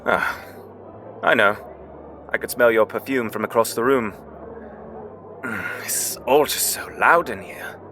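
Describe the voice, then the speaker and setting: An actor playing an insecure, bashful blind prince of the gods in an audio drama, stammering and hesitant. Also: male voice, mid-range voice, a little slow, pauses often, bright, forceful tone, a little hiss or hum. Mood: uneasy.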